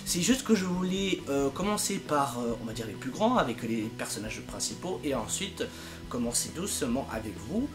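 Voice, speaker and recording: Animated, exasperated young man with music behind. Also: mid-range voice, normal speed. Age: young adult. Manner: exasperated, emphatic, comic exaggeration. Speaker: fandub project leader and video maker. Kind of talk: casual informal vlog announcement.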